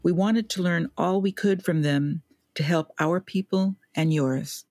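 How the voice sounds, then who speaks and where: Low-voiced woman narrating over guitar. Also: unhurried, a little mellow, dry recording. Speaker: co-host and narrator. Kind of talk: scripted documentary narration.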